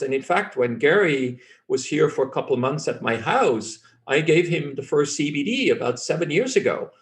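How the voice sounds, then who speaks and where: A middle-aged man whose first language is German, speaking English as a physician guest in an interview, self-assured and earnest, often restarting phrases. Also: mid-range voice.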